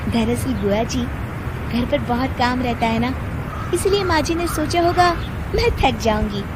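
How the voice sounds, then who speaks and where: A woman voices a gentle, forgiving young daughter-in-law in a cartoon moral tale. She speaks politely in a very high, lilting voice at an unhurried pace, with clear noise behind her. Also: natural voice. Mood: gentle, reassuring. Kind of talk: Hindi animated moral story acting. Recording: clear background noise, outdoor ambience.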